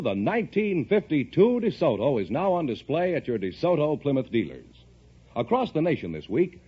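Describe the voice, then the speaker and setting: A male announcer delivers an emphatic sales pitch in 1950s radio style. His voice is very animated and rather high, with a husky edge, on a muffled vintage recording. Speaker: commercial announcer.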